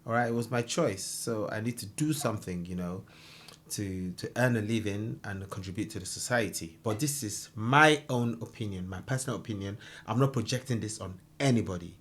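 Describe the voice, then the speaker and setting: A man's voice: fairly low pitch, fairly quick, fairly bright tone, husky. A man reacting to a street interview clip, giving his opinion emphatically with many fillers. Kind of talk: reaction commentary.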